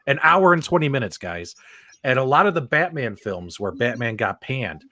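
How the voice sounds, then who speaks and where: A man's voice: mid-range voice, very animated, moderate pace, pushed and bright, no room sound. A middle-aged man hosting a casual live panel chat, talking emphatically and often checking in with tag questions. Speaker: live stream host.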